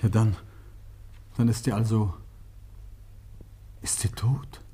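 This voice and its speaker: A man's voice: on the low side, fairly even intonation, takes it slowly, mellow, husky, full character voice. A man playing a guarded, grieving suspect in a German radio crime play, speaking in a subdued, bitter way. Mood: shaken, resigned. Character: guarded, grief-stricken male suspect under police questioning. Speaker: actor in a radio crime drama.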